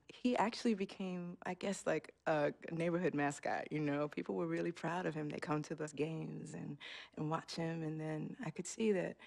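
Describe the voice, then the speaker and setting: Proud chatty mom, kinda low, gravelly. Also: female voice, darkish tone. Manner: chatty, fond. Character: warm proud middle-aged mom. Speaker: mother.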